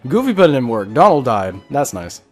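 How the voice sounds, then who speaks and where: A man's voice: mid-range voice, very animated, fairly quick. A man doing casual Let's Play commentary, rambling and thinking aloud as he plays. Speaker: gaming video host. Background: faint game music.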